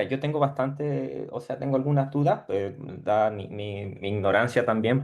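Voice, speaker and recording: A man's voice: on the low side, darkish tone. A man, a professor putting general questions at an academic seminar, tentatively rephrasing as he goes. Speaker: university professor asking questions.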